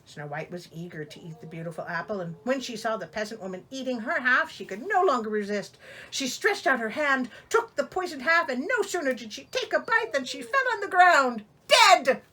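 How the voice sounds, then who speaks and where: A woman with a bright, fairly high voice reads a fairy tale aloud. Her pitch swings freely as she shifts between theatrical storytelling and dry, sardonic asides. She comes across as an exasperated, comic narrator. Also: big pitch swings, moderate pace, a little hiss or hum. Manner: sarcastic, theatrical, exasperated commentary. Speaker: storyteller, host of a reading show.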